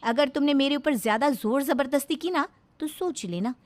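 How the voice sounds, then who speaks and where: Lady acting a snooty young wife in a story, high voice, braggy and taunting. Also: moderate pace, darkish tone, natural voice. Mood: haughty. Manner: haughty, taunting. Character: arrogant, boastful, quarrelsome young daughter-in-law.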